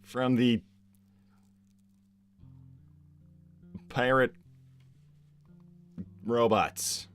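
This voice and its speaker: A man playing a cocky, laid-back, slightly dense young hedgehog hero in improvised live roleplay, talking casually and haltingly with plenty of filler words. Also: mid-range voice, steady tone, clean tone, faint music behind. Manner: casual, halting, lots of "like" and "you know". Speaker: live stream character performer reading donor messages.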